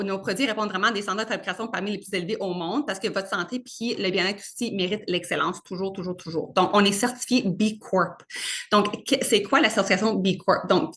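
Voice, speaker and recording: A woman with a Québécois French accent talks through a casual presentation in a bright, forward voice. She is in her thirties and chatty, and she leans on fillers and drops in English words as she goes. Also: medium pitch, fairly quick. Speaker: brand consultant presenting.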